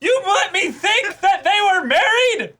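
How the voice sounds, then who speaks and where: Excitable, bright-voiced man with lively pitch. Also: unhurried. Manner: excitable, repeats words for emphasis; casual profanity. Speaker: co-host of an anime reaction show. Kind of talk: casual reaction banter.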